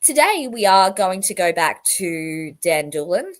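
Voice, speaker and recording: A croaky-voiced woman, still getting over an illness, hosts a casual livestream. Her voice sits a little low, and she rambles warmly through asides as she welcomes viewers by name. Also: big pitch swings, a little slow. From Australia. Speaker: livestream host. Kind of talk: casual livestream talk to chat.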